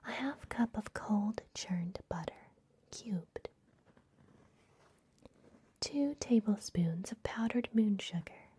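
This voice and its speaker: A woman playing a gentle, secretive vampire librarian in an ASMR fantasy roleplay, speaking in a soft, breathy, confiding whisper. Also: medium pitch, animated, a little hiss or hum. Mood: cozy, instructive. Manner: gentle, hushed, confiding, unhurried.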